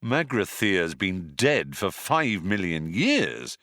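Cocky male space rogue performed by a male narrator, sci-fi audiobook; impatient, punchy, swooping high. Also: big pitch swings, slow, sharp tone, no room sound, clean studio sound, full character voice. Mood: dismissive. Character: brash, cocky, excitable male space adventurer.

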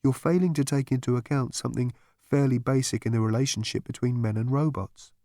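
A man's voice: mid-range voice, fairly even intonation, brisk, mellow, no echo, clean studio sound, voice pushed up, lightly acted. A man voicing a gloomy, put-upon menial robot with a dismal, bitter way of talking, in an audiobook. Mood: flat, dour. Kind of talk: audiobook character voice.

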